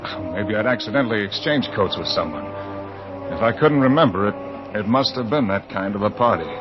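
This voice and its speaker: An American actor in an old-time radio drama, playing a bewildered young mystery writer who narrates in a hesitant, brooding way. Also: male voice, lowish voice, animated, fairly quick, lightly acted. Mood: brooding, uneasy. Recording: dull old radio recording, music behind.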